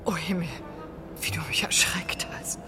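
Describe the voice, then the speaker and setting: A woman's voice: on the low side, steady tone, moderate pace, in a whisper, music in the background, natural voice. A woman playing a wary but gullible lone woman in a radio play, questioning and wondering. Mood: wary, curious. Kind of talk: German radio play acting.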